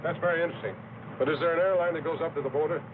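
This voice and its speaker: A man's voice: on the high side, steady tone, racing, lightly acted. An actor playing a wary, polite man on the run in an old-time radio drama. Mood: polite, hesitant. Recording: very noisy, tinny old radio recording.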